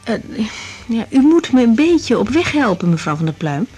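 Hesitant, higher-voiced young doctor. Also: female voice, big pitch swings, normal speed, dark tone, husky, faint music behind. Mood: hesitant, professional. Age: young adult. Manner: hesitant, polite, probing. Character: cautious young female doctor questioning a patient. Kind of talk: radio drama dialogue.

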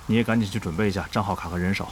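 A man acting as a guild leader with a fairly low, measured voice. He sounds decisive and calculating in radio-play dialogue, over clear rain-like noise. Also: fairly even intonation, natural voice. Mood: commanding. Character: calculating, authoritative male online guild leader. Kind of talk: Chinese audio drama dialogue.

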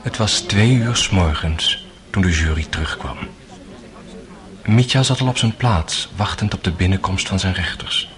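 Actor as a lowish, sober male narrator. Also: moderate pace, a crowd in the background, lightly acted. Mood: sober, recounting. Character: male friend of the accused, narrating the trial. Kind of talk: scripted radio play narration.

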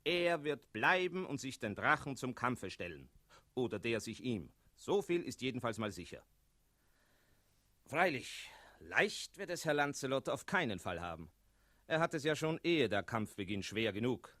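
A worldly tomcat storyteller in a 1960s radio play. The voice is bright and crisp-edged, set close and dry. He narrates with wry, measured care, as if confiding in the listener. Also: medium pitch, very animated, dry recording. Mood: wry, reassuring.

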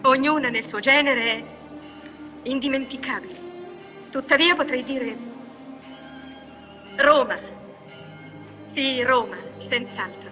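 A woman's voice: high-pitched, slow, long pauses, lo-fi sound, faint music behind. A woman playing a gracious young royal lady, speaking formally and politely in old film dialogue. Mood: gracious, wistful.

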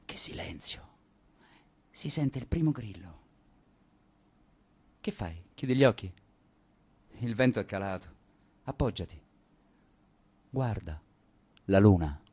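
A man's voice: lowish voice, expressive, takes it slowly, pauses often, darkish tone, slightly rough, heavily acted. An actor playing a yearning, sensual man confiding in his male friend, in a lyrical, intense radio play manner. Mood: yearning, hushed. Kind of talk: theatrical radio play acting.

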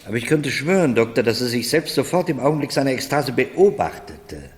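An actor in a 1970s German radio play, playing a vain, self-mocking middle-aged gentleman who talks in a dry, insistent, aggrieved way. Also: medium pitch, very animated, a little fast, lightly acted. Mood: reflective. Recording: reverberant room, vintage radio drama.

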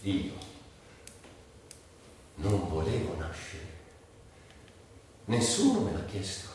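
A bitter, self-mocking rebel in a stage monologue. His voice swings wildly from low murmurs to high outcries. It is heard from far off in a very echoey space. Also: huge pitch swings, slow pace, a little mellow, heavily acted. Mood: sardonic. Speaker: stage actor. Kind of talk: theatrical dramatic monologue adapted from a novel. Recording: very echoey stage, distant mic, noisy.

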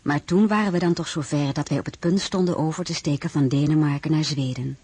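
A candid young royal recounting her life in a radio drama. The voice is strongly husky and breathy, measured and a little wistful. It is recorded dry, without room sound. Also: deep voice, mellow, no echo. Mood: reflective. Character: French-born crown princess, candid, wry, wistful narrator. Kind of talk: radio drama narration and dialogue.

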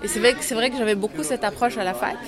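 A woman from Lebanon, an actress and drama teacher, answering interview questions in a relaxed, thoughtful way with many small hesitations. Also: fairly high pitch, racing, crowd noise. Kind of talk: casual interview in a café. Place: busy café or restaurant. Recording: noisy crowded café.

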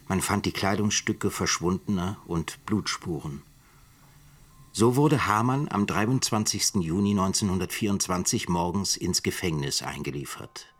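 Radio drama narrator: deep male voice, sober chronicler, grave, measured, factual. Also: slightly rough. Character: sober male writer chronicling a murder trial. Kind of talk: scripted radio play narration.

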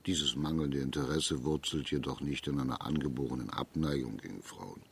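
An actor playing a shrewd, authoritative imperial judge in a radio drama, speaking in a measured, formal, deliberate manner. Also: male voice, rumbling low, vocal fry, breathy edge, exaggerated voice. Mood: pondering, measured.

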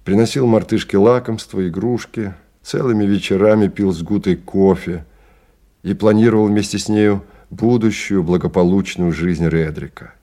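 A man narrating a literary radio drama in a measured storytelling manner. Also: fairly low pitch, steady tone, warm tone, close to an everyday voice.

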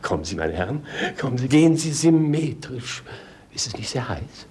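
A male actor playing a flustered, pompous, philosophizing king in a 1950s radio play, theatrical and halting. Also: medium pitch, sing-song, darkish tone, husky. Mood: confused. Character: muddled, pompous, anxious philosophizing old king. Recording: 1950s radio drama recording.

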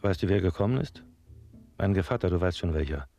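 An actor playing a blustering, scolding but soft-hearted innkeeper in a German radio drama, indignant and exclamatory. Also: male voice, low voice, lively intonation, brisk, lo-fi sound, soft background music. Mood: angry.

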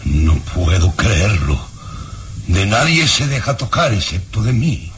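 A wary dog owner in an old radio play. He has a fairly low voice with lively swings of pitch, and clear hiss sits under the recording. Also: slow pace. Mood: amazed. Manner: anxious, cautioning. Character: wary man who owns a fierce guard dog.

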